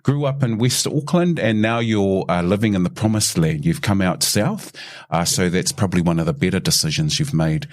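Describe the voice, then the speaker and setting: A man's voice: sits low, moderate pace. A man hosting a casual podcast interview, teasing his guest in a friendly way and often restarting his questions. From New Zealand. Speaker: podcast host.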